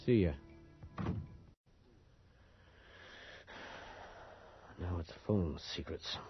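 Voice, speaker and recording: An actor playing a cynical, wry reporter with a husky voice and a sardonic manner in a radio drama. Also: male voice, deep voice, moderate pace, faint music behind, lightly acted. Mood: wry, suspicious. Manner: sardonic, muttering to himself. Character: cynical, wry male reporter, an outsider in town. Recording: muffled early 1980s radio broadcast.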